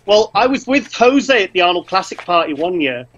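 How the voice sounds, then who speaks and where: A man from Wales with a mild border accent softened by years in northern England, chatting in a friendly, rambling way as a podcast guest. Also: high voice, sing-song, normal speed, muffled recording. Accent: mild Welsh-English border accent with northern English influence. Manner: friendly, rambling, laughs often. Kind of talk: casual interview chat.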